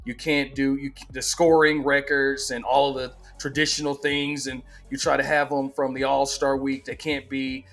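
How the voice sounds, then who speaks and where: A man's voice: medium pitch, flat delivery, normal speed, few pauses, fairly bright tone. A man in his forties hosting a sports channel, talking in a casual, emphatic way and often repeating himself. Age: 40s. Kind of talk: casual opinion monologue.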